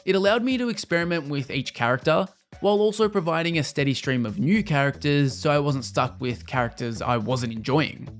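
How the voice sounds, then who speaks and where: Man, fairly high voice, scripted game review narration, explanatory and opinionated, music underneath. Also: a little fast, hardly any pauses.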